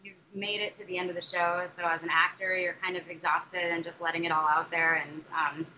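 A woman's voice: fairly low pitch, flat delivery, fairly quick, sharp tone. A young adult woman, a musical theatre actress, answering interview questions in a chatty way, with many fillers and restarts. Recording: dull muffled recording with faint noise.

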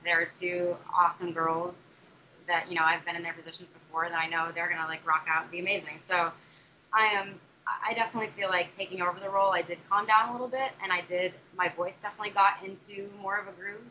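A woman's voice: fairly low pitch, level tone, quick pace, sharp tone. A young adult woman, a musical theatre actress, answering interview questions in a chatty way, with many fillers and restarts. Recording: dull muffled recording with faint noise.

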